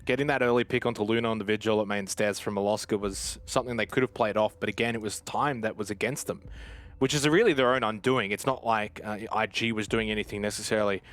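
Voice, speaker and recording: A man's voice: on the low side, fairly even intonation, quick pace, fairly bright tone. A man commentating live on an esports match, switching between play-by-play and conversational analysis.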